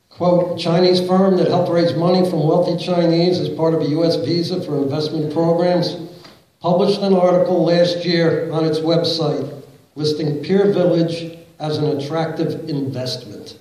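A middle-aged man stands at a podium in a large council chamber and presses officials with pointed questions. His voice sits a touch high. A camera far back in the room picks it up with heavy hall echo. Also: mellow. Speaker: public commenter at a council meeting. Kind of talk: public comment, reading quotes aloud. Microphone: podium mic, recorded by a far camera in the audience.

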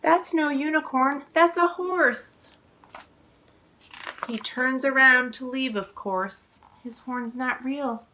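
A woman in her forties reading a children's picture book aloud, expressive and exclaiming, drawing out words for young listeners. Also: high-pitched, takes it slowly, slight background noise. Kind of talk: animated children's read-aloud storytelling. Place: living room at home, indoors.

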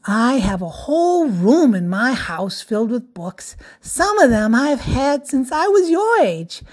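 A woman doing a gentle, kindly storybook librarian. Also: on the high side, big pitch swings, measured pace, pitched above the performer's own voice. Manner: gentle, reassuring, amused. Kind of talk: storybook read-aloud.

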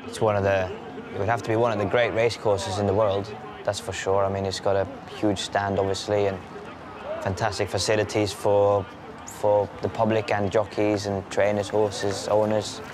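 A young man from Norway, a jockey, giving relaxed, measured interview answers. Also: lowish voice, a crowd in the background.

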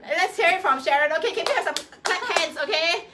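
A woman's voice: extremely high pitch, fast, very noisy. A woman hosting a live fashion sales show in a chatty, effusive, coaxing way.

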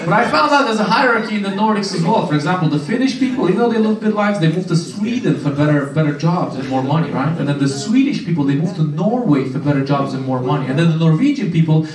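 A man's voice: a little high, quick pace, few pauses. Romanian man doing stand-up in a roomy club. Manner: deadpan punchlines, playful crowd work. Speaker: stand-up comedian. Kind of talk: stand-up set. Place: small comedy club stage. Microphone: handheld mic. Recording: roomy club sound, camera far off. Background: audience laughter.

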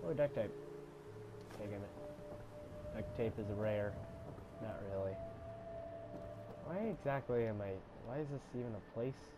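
A young man with a congested voice giving casual, swearing commentary while playing a game, mostly low and mumbled with sudden loud outbursts. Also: unhurried, long pauses, background noise. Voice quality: congested, stuffy. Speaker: gamer playing a shooter game.

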